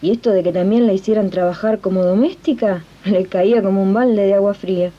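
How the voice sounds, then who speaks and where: A woman's voice: mid-range voice, steady tone, brisk, lightly acted. A woman playing a plain-spoken Argentine domestic worker and union comrade who narrates a 1980s radio drama conversationally. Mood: warm, storytelling. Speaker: narrator and host of radio serial. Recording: dull 1980s archive radio tape.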